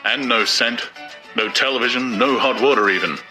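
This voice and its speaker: A man's voice: mid-range voice, normal speed, music in the background, lightly acted. A man voicing a weary, curt young man.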